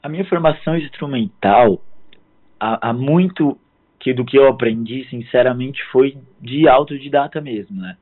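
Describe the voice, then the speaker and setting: A hoarse man in his thirties from southern Brazil, a guest musician speaking politely and hesitantly in a phone interview. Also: medium pitch, moderate pace. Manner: hesitant, filler-heavy, polite. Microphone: phone line. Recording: tinny phone line.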